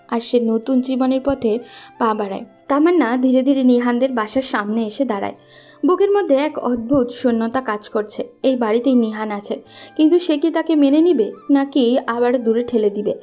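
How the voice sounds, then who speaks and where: A woman's voice: on the high side, normal speed, mellow, soft background music. A woman narrating a scripted romantic story.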